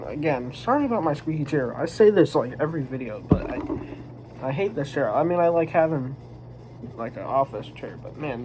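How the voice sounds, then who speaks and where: A stuffed-up, nasal man talks through a casual review from a room at home. He rambles and restarts, and his voice is mellow and soft-edged. A faint background noise sits under him. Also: medium pitch, low-quality recording. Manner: rambling, hesitant, often restarting. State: congested, nasal. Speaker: manga reviewer. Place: home room with a squeaky office chair.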